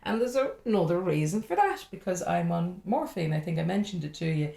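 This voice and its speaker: A woman's voice: on the low side, expressive, brisk, vocal fry, warm tone. An Irish woman, a comedian guest, talking frankly and chattily in a casual interview. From Ireland. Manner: frank and chatty, with laughing asides. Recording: echoey room.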